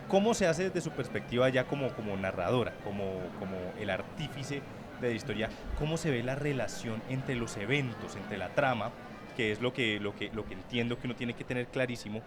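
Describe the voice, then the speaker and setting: A man interviewing someone conversationally, building long questions deliberately and rephrasing as he goes. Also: medium pitch, background noise.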